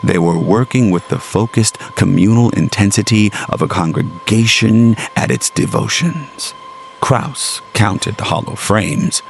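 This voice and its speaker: A man reading scripted gothic horror as a storyteller, in a dramatic, deliberate literary manner with weighted phrases. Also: on the low side, lively intonation, gravelly, slight background noise. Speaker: storyteller, narrator. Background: music under the narration.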